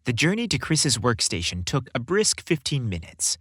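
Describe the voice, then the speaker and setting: A man narrating a scripted story in a polished, expressive storytelling manner. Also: mid-range voice, normal speed, slightly rough, no room sound, studio quality.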